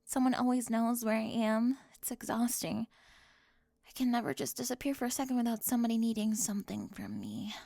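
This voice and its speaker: A woman's voice: fairly high pitch, lively intonation, brisk, vocal fry, warm tone, dry recording. A woman playing a sarcastic young cheerleader bully. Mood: defensive. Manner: sarcastic, prickly, defensive, often whispering. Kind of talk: ASMR roleplay.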